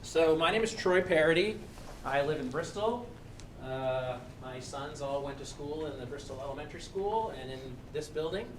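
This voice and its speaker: A man's voice: fairly high pitch, animated, normal speed, far from the mic. A middle-aged man gives an earnest, slightly rambling presentation to a community meeting in a school building. He sits some way from the microphone, and a faint hiss runs under his voice. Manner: earnest, thankful, a little rambling with restarts. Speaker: committee member presenting.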